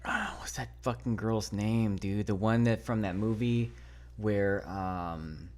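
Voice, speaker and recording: Low-voiced male podcast host, loose and profane, rambles through a countdown. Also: takes it slowly, a little mellow. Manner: rambling, profane, fumbles for names. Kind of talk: casual podcast chat, counting down a movie list.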